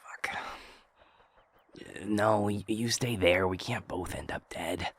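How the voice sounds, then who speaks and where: A man acting a teasing young male gamer friend in a casual audio roleplay, halting and playful, with a breathy voice. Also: lowish voice, a bit bright, slight background noise. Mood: panicked. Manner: halting, playful, pleading banter.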